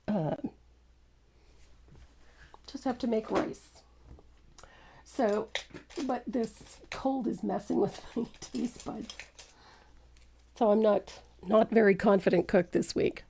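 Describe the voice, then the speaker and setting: A woman's voice: mid-range voice, slow, vocal fry, pauses often, background noise. A woman with a congested, stuffed-up voice from a cold, leading a painting tutorial in a chatty, rambling way with frequent self-deprecating laughs. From Canada. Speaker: painting instructor.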